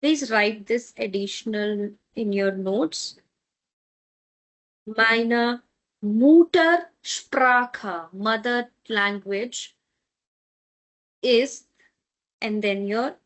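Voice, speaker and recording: A woman whose first language is Tamil, teaching a beginner German class online. She repeats words slowly and keeps checking in with her students. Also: medium pitch, low-quality recording.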